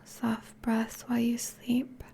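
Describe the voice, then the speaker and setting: A woman playing a possessive, teasing yet tender vampire girlfriend in an intimate, whispery ASMR roleplay, speaking softly and coaxingly. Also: fairly high pitch, monotone. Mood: loving, intimate. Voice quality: breathy, whispery. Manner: slow, soft, coaxing.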